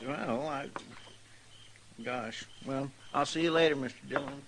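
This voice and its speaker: An actor playing an eager, bumbling, folksy deputy sidekick in an old radio western. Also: male voice, mid-range voice, a little slow, close to an everyday voice. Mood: sheepish. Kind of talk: 1950s radio western acting. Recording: noisy old radio recording.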